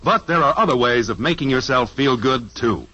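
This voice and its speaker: A man narrating a 1950s radio sitcom in a measured, wry tone. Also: mid-range voice, lively intonation, bright, forceful tone, no room sound, lo-fi sound. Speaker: show narrator. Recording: old radio broadcast over music.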